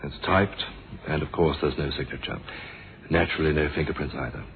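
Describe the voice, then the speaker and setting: A man acting a courteous, probing police inspector in a radio mystery drama, formal and measured, firm but humane. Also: very deep voice, steady tone. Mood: pressing, businesslike. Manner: formal, measured, polite questioning. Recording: muffled, noisy old radio drama recording.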